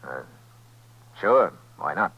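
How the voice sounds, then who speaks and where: A man playing a steady, courteous, laconic lawman in a 1950s radio Western. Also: low-pitched, takes it slowly, gravelly, husky, no echo, heavily acted. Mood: reserved. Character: steady, courteous, laconic US Marshal in the Old West. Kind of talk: 1950s radio Western acting and first-person narration. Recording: muffled 1950s radio recording.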